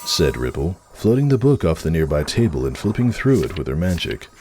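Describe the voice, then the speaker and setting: A man's voice: very deep voice, very animated, fairly quick, darkish tone, a little hiss or hum. A man reading a story aloud as a narrator, in a scripted dramatic storytelling style. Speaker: fan fiction narrator.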